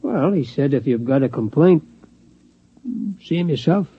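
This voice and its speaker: An actor in an old radio drama playing a humble, folksy security guard who speaks hesitantly and deferentially. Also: male voice, on the high side, expressive, normal speed, slightly rough. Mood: wry, confiding. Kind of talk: 1950s radio drama acting and narration. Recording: dull vintage radio recording with music.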